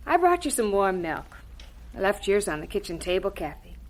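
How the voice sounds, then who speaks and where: Woman as a caring church-going mom in a radio drama, soothing, gently preachy, really expressive pitch. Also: moderate pace, natural voice, poor audio. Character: gentle, concerned, devout mother.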